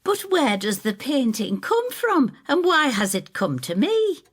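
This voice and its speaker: Woman acting Effie, high-pitched plaintive old neighbour. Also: big pitch swings, unhurried. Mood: desperate. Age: older. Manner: plaintive, demanding. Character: Effie, anxious, bitter woman neighbour nearly seventy.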